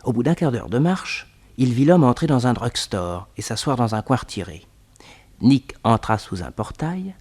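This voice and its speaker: A man's voice: mid-range voice, sing-song, moderate pace, a little mellow. A man narrating a French detective serial in a dramatic, scripted storytelling style.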